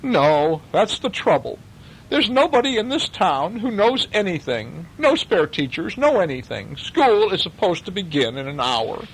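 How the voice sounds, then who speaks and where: A man's voice: high-pitched, sing-song, unhurried, a little hiss or hum, pitched above the performer's own voice, exaggerated voice, poor audio. A man voicing a harried, gloomy school superintendent in a storybook, with a fretful, emphatic, complaining manner. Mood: exasperated.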